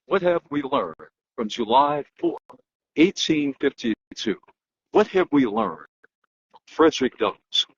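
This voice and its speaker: Husky man delivering animated, dramatic oratory. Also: medium pitch. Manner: emphatic, declamatory, with dramatic pauses and repetition. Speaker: political analyst and host. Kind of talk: dramatic speech reading and oratory. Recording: dull, lo-fi muffled sound.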